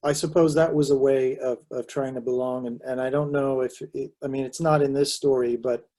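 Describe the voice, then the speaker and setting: A man's voice: medium pitch, fairly even intonation, a little fast, mellow. A man, a panelist giving a reflective, hesitant answer full of fillers and restarts over a video call. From Southern California. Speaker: panelist and author.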